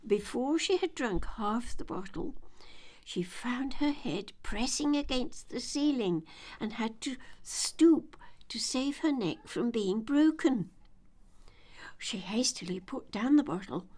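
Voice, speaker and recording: An older woman reading a children's story aloud in a measured, deliberate, expressive storytelling manner. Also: fairly high pitch. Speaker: children's audiobook reader.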